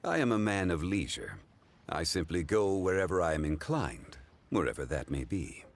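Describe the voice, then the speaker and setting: A man playing a refined, leisurely old-souled gentleman in a video game, speaking in a formal, measured, contemplative way. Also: deep voice, very animated, a little hiss or hum. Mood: composed. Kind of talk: English video game voice acting. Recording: music behind the voice.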